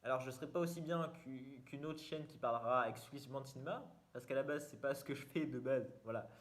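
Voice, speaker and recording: A man's voice: medium pitch, fast talker. Young guy rambling on his channel, jokey, lots of euh and restarts. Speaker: YouTuber. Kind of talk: casual self-mocking vlog.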